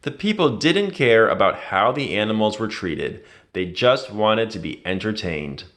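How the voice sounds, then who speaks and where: A man reading a children's adventure story aloud in an expressive, dramatic storytelling manner. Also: lowish voice, a little slow. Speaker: read-aloud narrator.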